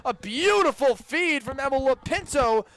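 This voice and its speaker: A young man calling a college game as a radio play-by-play announcer, with brisk, precise calls that lift sharply on scoring plays. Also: very high-pitched, lively intonation, a bit bright.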